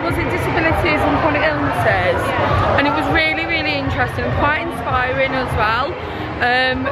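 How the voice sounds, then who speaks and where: Excitable young woman vlogging in a loud crowd. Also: high-pitched. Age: young adult. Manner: chatty, excitable, laughing often. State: losing her voice. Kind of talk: casual vlog chat. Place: large busy convention hall, indoors. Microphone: handheld camera at arm's length. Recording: noisy convention crowd. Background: loud crowd chatter.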